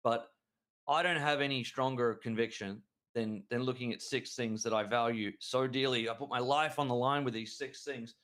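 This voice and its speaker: A man co-hosting a trading show, his voice sitting at an ordinary middle pitch. He talks with eager excitement, rambling through his analysis and often restarting mid-sentence. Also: normal speed. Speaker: crypto trading show co-host. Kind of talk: enthusiastic market analysis chat.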